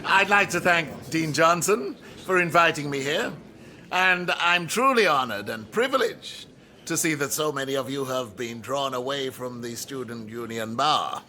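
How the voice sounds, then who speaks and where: An actor playing a provocative, condescending professor speaking emphatically in a radio drama. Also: male voice, medium pitch, lively intonation, a little slow, pushed and bright, slight background noise. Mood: formal, pleased. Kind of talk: radio drama acting, public lecture.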